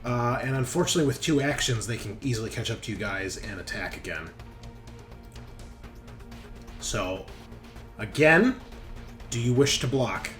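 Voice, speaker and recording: A man's voice: medium pitch, animated, normal speed, fairly bright tone, faint music behind. A man working as game master in a tabletop roleplaying game, casually explaining rules and narrating the action step by step in an informal way.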